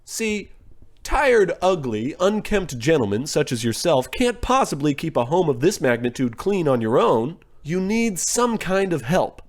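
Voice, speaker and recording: A man's voice: on the high side, big pitch swings, measured pace. A man playing a slick, condescending door-to-door salesman in an old-time radio comedy. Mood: slick, insulting. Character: smooth-talking, pushy, condescending conman door-to-door salesman.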